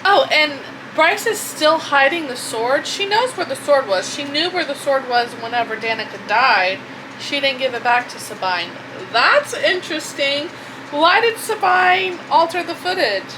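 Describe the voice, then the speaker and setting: A woman book vlogger chatting casually in an expressive, informal way with plenty of swearing. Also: high-pitched, unhurried, noisy background. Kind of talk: casual reaction chat to camera.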